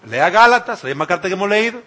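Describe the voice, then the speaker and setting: A man's voice: sits high, animated, quick pace, sharp tone. A man from the Dominican Republic, speaking with a Dominican Spanish accent, preaching an emphatic sermon and repeating phrases for effect.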